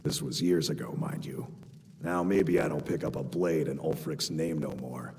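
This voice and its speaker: An actor playing a plain-spoken rustic Nord war veteran in a video game, with folksy grammar. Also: male voice, rumbling low, moderate pace, a little mellow. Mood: gruff, matter-of-fact. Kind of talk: video game character dialogue. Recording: long hall reverb, music behind.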